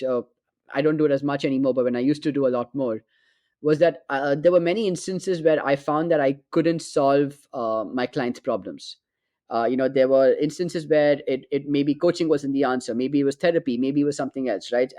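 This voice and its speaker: Podcast host, male, fairly high voice, halting and searching for words. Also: darkish tone, no room sound, studio quality. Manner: hesitant, many restarts and fillers. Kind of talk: conversational interview.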